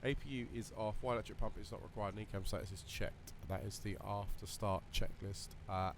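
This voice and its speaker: A man with a slightly croaky voice, casually talking through a flight simulator session while thinking aloud with lots of fillers. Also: lowish voice, fairly even intonation, a little fast. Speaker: flight sim video creator. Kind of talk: relaxed running commentary while flying a simulator. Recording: voice over loud simulator cockpit noise.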